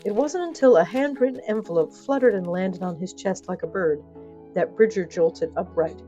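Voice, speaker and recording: A woman's voice: fairly low pitch, moderate pace, dark tone, highs cut off, soft background music. A woman narrating scripted fiction in a theatrical storytelling style, with wry, playful asides to the listener.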